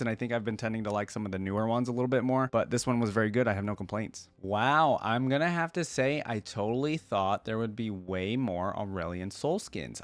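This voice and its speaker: A man's voice: mid-range voice, dry recording, clean studio sound. A man giving casual reaction commentary as host, thinking aloud with some hesitation. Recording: music playing behind the voice.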